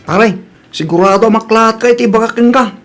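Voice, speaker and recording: A woman's voice: on the high side, brisk, faint music behind, close to an everyday voice. A woman with a Filipino Ilocano accent playing a frank young friend bearing news in a comedy drama. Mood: earnest.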